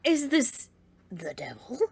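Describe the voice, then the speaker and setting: A woman hosting a casual reaction vlog, gushing and slangy in a dramatic, excited fan's way. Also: fairly high pitch, takes it slowly, breathy edge. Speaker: reaction video host.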